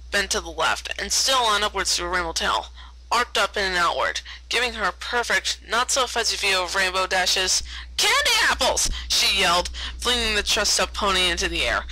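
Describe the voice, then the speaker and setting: A woman's voice: medium pitch, pushed and bright, dry recording, low-quality recording. A woman narrating a story aloud as an amateur reader, often stumbling over words and correcting herself. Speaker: amateur fan fiction reader.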